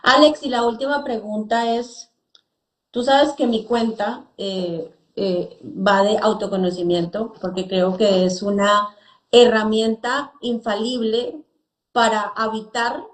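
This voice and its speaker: A woman hosting an interview in a conversational style, speaking in Spanish. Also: fairly high pitch. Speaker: interview host. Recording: echoey room sound.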